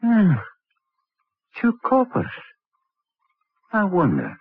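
A pompous, middle-aged professor of anatomy in a vintage radio drama, on a tinny old recording. His husky voice swoops dramatically up and down. He hesitates fussily, then turns imperious and evasive. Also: high voice, huge pitch swings, extremely slow, exaggerated voice. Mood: furtive, shrewd.

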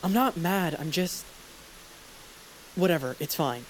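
A man's voice: a little high, lively intonation, measured pace, slight background noise, low-quality recording. A man playing an uneasy teenage boy in an audio drama, hesitant and awkward, trying to keep the peace. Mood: worried. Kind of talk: audio fiction drama acting.

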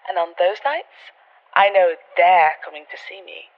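A woman's voice: fairly low pitch, expressive, measured pace, lo-fi sound. A woman playing an eerie young caller telling a confessional story in an audio drama. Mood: eerie, fond. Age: young adult. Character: eerie young woman convinced of secret night visitors.

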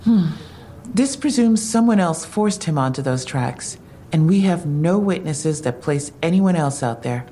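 A woman's voice: low-pitched, lively intonation, unhurried, warm tone, a little hiss or hum. An actress in an audio drama playing a skeptical, probing female police detective. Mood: skeptical, questioning.

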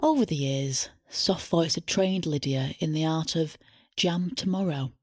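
A woman with a husky voice, narrating a scripted satirical story in a deadpan, matter-of-fact way. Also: sits low, measured pace. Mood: matter-of-fact, wry. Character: wry female storyteller narrating a satirical tale.

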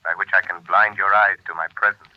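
An actor playing a calm, commanding invisible avenger in measured, grave 1930s radio drama style, through a telephone-like filter. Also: male voice, low-pitched. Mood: ominous, assured. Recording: muffled vintage 1930s radio recording.

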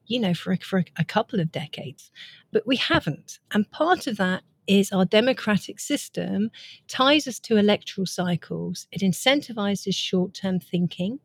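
A woman's voice: mid-range voice, animated, moderate pace, slightly rough. A woman, speaking as an author being interviewed, explaining ideas in an earnest, articulate way. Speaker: author and guest.

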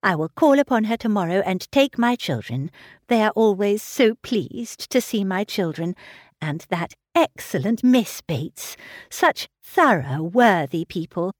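A woman reading aloud voices a gentle, polite young mother talking with her father. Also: medium pitch, expressive, a little slow, no echo, clean studio sound. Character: gentle young mother, dutiful married daughter. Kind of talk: audiobook character reading.

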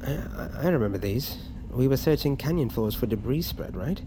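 A man's voice: mid-range voice, animated, fairly quick, background noise, lightly acted. An actor playing an eager, wide-eyed everyman crew member in an audio drama, informal and inquisitive. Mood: curious.

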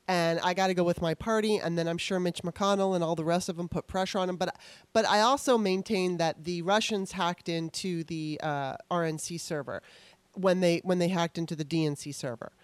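A woman hosting a casual political discussion, blunt and animated, at ease with the odd swear word. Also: fairly low pitch, brisk, no room sound. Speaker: host.

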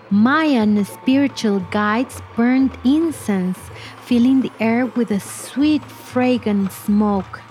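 A woman narrating a scripted biographical story for children in a measured, expressive way. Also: mid-range voice. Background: music bed.

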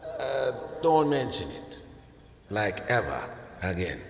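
A man's voice: fairly low pitch, big pitch swings, takes it slowly, gravelly, background noise, exaggerated voice. An actor playing a laid-back, sardonic male voice inside someone's head, with a drawling manner and a long reverb effect. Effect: long reverb as inner voice. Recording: echoing reverb with music behind.

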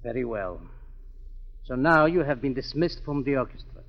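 An actor playing a blunt, dismissive singing master with a brusque, skeptical manner in a 1940s radio drama. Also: male voice, medium pitch, lively intonation, normal speed, lightly acted. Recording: dull old 1940s radio broadcast.

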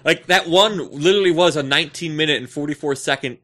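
A young adult man co-hosting a podcast, bantering casually in an animated, teasing way. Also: fairly high pitch, fast talker. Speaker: podcast co-host.

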